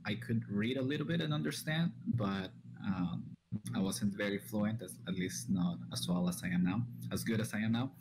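A man's voice: fairly low pitch, moderate pace, background noise. Young Colombian man, hesitant guest. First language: Spanish. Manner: hesitant, starts with "um". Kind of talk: casual video call chat. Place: small room at home. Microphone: headset with large studio mic.

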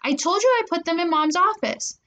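A snippy young girl voiced by a woman, high in pitch and offhand. She is a defensive sister in a children's storybook. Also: moderate pace, close to an everyday voice. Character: matter-of-fact sister, young girl, ballet-practising, defensive.

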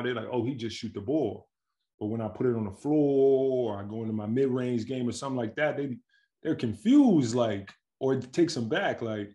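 Gravelly-voiced man on a video call. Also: mid-range voice. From Milwaukee, Wisconsin, USA. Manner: relaxed, slangy, animated storytelling with frequent laughs. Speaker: guest, pro basketball player. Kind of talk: casual interview chat. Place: home with staircase behind, indoors. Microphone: video call webcam.

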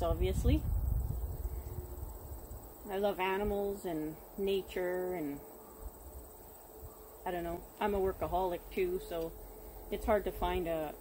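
A woman's voice: lowish voice, unhurried, long pauses. A middle-aged Canadian woman whose first language is Quebec French, chatting casually to the camera as a vlogger outdoors. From Quebec, Canada, raised partly in Ontario. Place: outdoors in a field, yard and open shelter. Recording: outdoor ambience, clear background noise.

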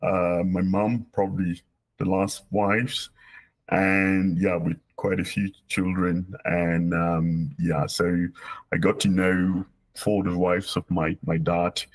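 Husky low-voiced man on a video call. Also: little pitch movement, takes it slowly. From Cameroon. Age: 40s. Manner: hesitant, many fillers and restarts. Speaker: guest, operational research professor. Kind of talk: casual interview chat. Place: small office indoors. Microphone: video call webcam.

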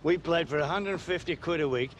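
A man's voice: fairly high pitch, brisk, lightly acted. Man playing a nostalgic old British ex-footballer, background noise. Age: older. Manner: nostalgic, homely. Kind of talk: video game cutscene acting.

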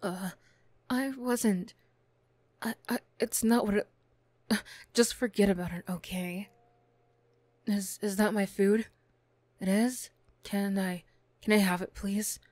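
A woman's voice: mid-range voice, steady tone, slow, long pauses, darkish tone, no echo. A woman acting a flustered, defensive young former bully in an ASMR roleplay, stammering, bashful and snappy. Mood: embarrassed, bashful.

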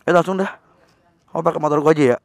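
A young man chatting excitedly in a casual riding vlog, recorded on a helmet camera while on a motorbike in the city. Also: a little high, fast, vocal fry, no room sound. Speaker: motovlogger. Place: on a motorbike on city streets, outdoors. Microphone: helmet-mounted action camera. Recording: loud wind and traffic noise.

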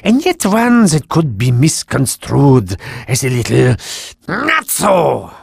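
A man playing a grandiose, menacing mad doctor in a video game, theatrical, with a gravelly, raspy voice. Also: medium pitch, wildly swooping pitch, slow pace, dry recording, clean studio sound, exaggerated voice. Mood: sly menace. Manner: theatrical, swinging from murmurs to shouts. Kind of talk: video game villain acting.

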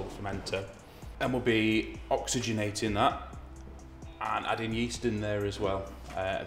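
Male brewer, low and level delivery, interview explainer, music bed underneath. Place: brick-walled room indoors. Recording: roomy echo, music underneath.

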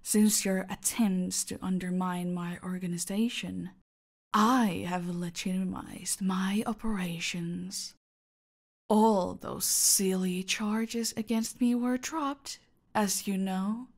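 An actress playing a menacing, silky, aristocratic cartel boss in a roleplay audio drama, condescending and deliberate, often sinking toward a whisper. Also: female voice, medium pitch, fairly even intonation. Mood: gloating.

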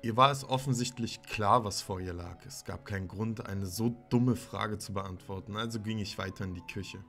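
A man's voice: on the low side, normal speed, few pauses, a bit bright. A man playing a sullen, troubled teenage son, bitterly narrating his own horror story in a dramatic way. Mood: bitter, brooding. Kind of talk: scripted first-person horror narration. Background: music.

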